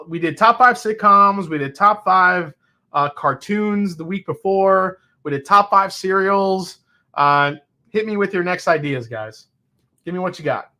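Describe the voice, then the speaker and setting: Chatty, bright-voiced man in his forties. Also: a little high. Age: 40s. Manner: chatty and excitable, thinks aloud, quick exclamations. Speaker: host of a whiskey tasting show. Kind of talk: casual live tasting chat, reading viewer comments.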